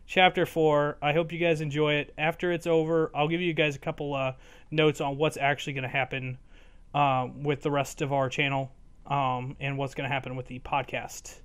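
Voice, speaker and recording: A young man hosting casually, excitable, slangy and easily distracted. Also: on the high side, normal speed, clear, resonant tone. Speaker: host of a fan fiction reading channel. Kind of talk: casual banter introduction.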